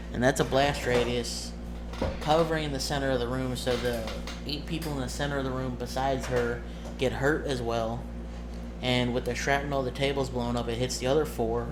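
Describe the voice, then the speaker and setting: A man talking casually and informally about his tabletop roleplaying game as game master, rambling and correcting himself as he goes. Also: mid-range voice. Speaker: amateur game master, presenter of the video. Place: indoors at a desk with monitor and cameras. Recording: noisy home recording with room ambience.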